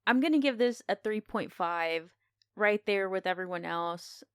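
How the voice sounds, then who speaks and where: A woman's voice: medium pitch, measured pace, clear, resonant tone, no echo, clean studio sound. A woman co-hosting a podcast, talking casually as she gives her review of a film.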